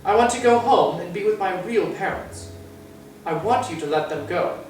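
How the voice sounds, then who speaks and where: Man as a high-pitched, defiant little girl. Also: lively intonation, normal speed, a little mellow, soft background music. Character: brave, defiant young girl, earnest and indignant. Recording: very distant, echoey room.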